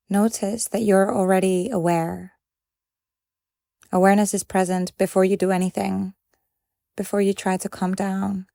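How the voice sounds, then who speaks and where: A woman leading a guided meditation in a calm, gentle and measured way, leaving pauses between phrases. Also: mid-range voice, gravelly, dry recording, studio quality.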